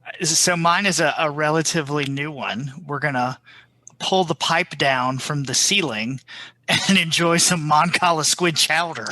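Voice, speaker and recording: A man's voice: fairly high pitch, unhurried, pushed and bright, dry recording. A man joking casually as a panelist on a fan podcast.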